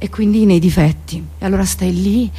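A woman's voice: mid-range voice, fairly quick, mellow, slightly rough. A woman delivering a theatrical comic monologue in a mock-solemn, rambling and colloquial way.

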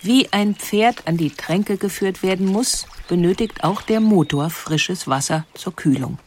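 A woman reading scripted documentary narration in a measured, explanatory way. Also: fairly low pitch, slight background noise.

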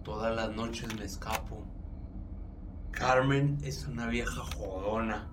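An actor playing a drunk, pushy, foul-mouthed older Mexican family doctor in a radio drama, rambling crudely. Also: male voice, lowish voice, fairly even intonation, slow pace, a bit bright, distant mic, a little hiss or hum. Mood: crude, boastful.

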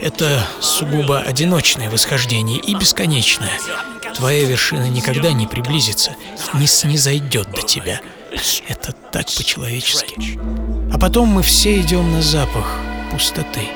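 A man playing an exhausted, wryly philosophical mountaineer in a radio monologue, halting and breathy, drifting between whisper and voice. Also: mid-range voice, animated, lightly acted. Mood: wry, reflective. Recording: music under the voice. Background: music.

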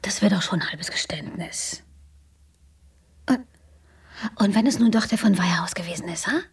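A woman's voice: mid-range voice, normal speed, slightly rough. An actress playing a brash, defiant young woman with coarse, blunt speech. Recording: distant mic.

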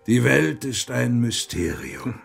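An actor in a German radio play playing a defiant, wry monk and church reformer, gravelly and musing, halting for effect. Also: male voice, mid-range voice, heavily acted.

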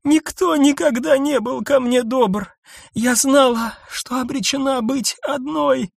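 A man's voice: very high voice, slow pace, no room sound, clean studio sound, pitched above the performer's own voice, full character voice. A male narrator voicing a wounded, exhausted woman who pleads and sobs. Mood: pleading. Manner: sobbing, pleading. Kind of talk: audiobook character voice.

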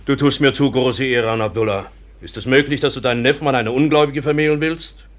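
A man's voice: mid-range voice, quick pace, natural voice. A man playing a proud, protective and bitter colonial father in a radio drama, emphatic and brooding. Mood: guarded, firm. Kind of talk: literary radio drama acting.

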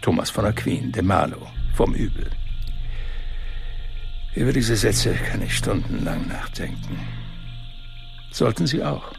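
A man's voice: on the low side, lively intonation, measured pace, gravelly, long pauses, faint music behind. A man in a radio drama playing a learned cleric who lectures in a didactic way. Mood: lecturing. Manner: learned, didactic, quotes Latin. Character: scholarly male cleric, lofty and sermonizing. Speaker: churchman at a forum.

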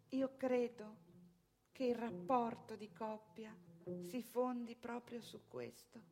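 High-pitched, prim married mother. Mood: earnest, proud. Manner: opinionated, sententious, rhetorical questions. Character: proud, prim married mother, anxious and self-righteous. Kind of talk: theatrical stage monologue.

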